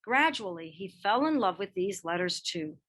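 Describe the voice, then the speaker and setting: A woman reading a children's picture book biography aloud in expressive narration, with measured phrasing and dramatic emphasis. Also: on the low side. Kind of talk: expressive scripted storybook narration.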